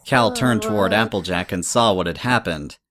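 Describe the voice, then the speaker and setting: A man's voice: fairly low pitch, moderate pace, fairly bright tone. A man narrating a scripted story as a storybook reader.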